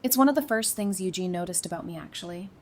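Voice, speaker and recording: An actress playing a warm, teasing, geeky woman in a post-apocalyptic audio drama, speaking casually and playfully. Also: animated, fast, natural voice. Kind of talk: fan audio drama acting. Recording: noisy background with low rumble.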